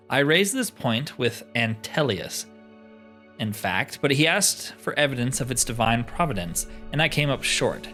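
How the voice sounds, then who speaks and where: A man's voice: medium pitch, a bit bright. A man voicing a formal, earnest, devout cult priest who hands out quests in a fantasy game. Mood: indignant.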